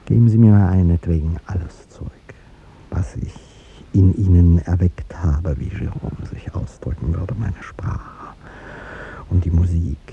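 An actor playing an aging, courtly president in a 1950s radio drama, speaking formally and hesitantly as he gives up a beloved young woman. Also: unhurried. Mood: resigned, reproachful. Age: older. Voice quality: deep, gravelly. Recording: muffled vintage radio recording, rumbling hiss.

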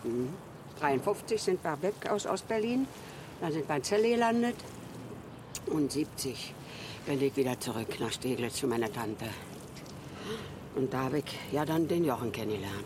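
An actress playing a fond, chatty elderly widow who rambles through memories in a naturalistic radio drama. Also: female voice, extremely low pitch, expressive, moderate pace, long pauses, background noise. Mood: nostalgic, wistful. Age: older. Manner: rambling, reminiscing, with colloquial tag questions. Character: chatty, fond old Berlin widow, former flower seller.

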